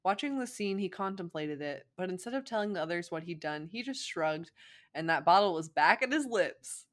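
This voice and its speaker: Young female fan fiction narrator, slightly low pitch, playful, giggly, informal banter. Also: expressive, normal speed. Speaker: co-host, fan fiction reader.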